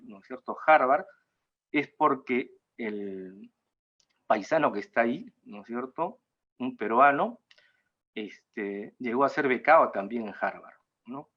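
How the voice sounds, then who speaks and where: A mature man with a Peruvian accent gives an informal talk in a halting way, often tagging phrases with a question seeking agreement. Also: mid-range voice, dull audio. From Peru. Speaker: guest speaker at a cultural talk. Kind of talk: informal lecture in an online talk.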